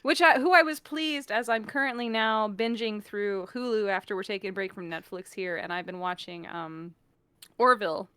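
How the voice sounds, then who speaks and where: A woman chatting casually and geekily about sci-fi shows, talkative and animated, as a guest on a stream. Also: mid-range voice, normal speed, clear, resonant tone. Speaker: guest on a fan talk stream.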